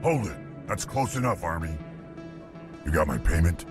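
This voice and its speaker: A man's voice: low voice, expressive, normal speed, over music. An actor voicing a menacing reptilian male alien arms dealer, surly and dismissive. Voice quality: gravelly. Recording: game audio with loud score.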